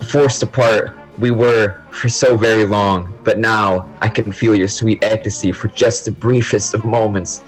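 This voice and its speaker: Man playing low-voiced, bombastic melodramatic student gamer. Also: fairly even intonation, unhurried, hardly any pauses, soft background music, close to an everyday voice, poor audio. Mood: mock-poetic rapture. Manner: theatrical, grandiloquent ranting. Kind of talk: amateur audio drama acting.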